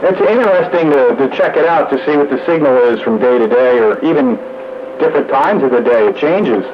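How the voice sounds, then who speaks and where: A man's voice: medium pitch, expressive, a little fast. Man on noisy, muffled radio. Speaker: amateur radio net regular. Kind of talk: casual radio chat.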